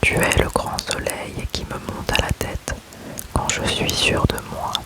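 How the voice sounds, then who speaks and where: Slow whispering man reading poems. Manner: slow, soft unvoiced whisper throughout. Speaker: ASMR creator. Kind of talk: whispered ASMR poetry reading.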